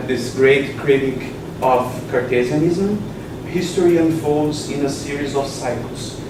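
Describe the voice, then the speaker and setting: Brazilian man presenting at a conference. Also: fairly high pitch. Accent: Brazilian Portuguese. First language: Portuguese. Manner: halting, many pauses and fillers. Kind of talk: academic conference talk. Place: conference session room. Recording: echoey room from far off, noisy.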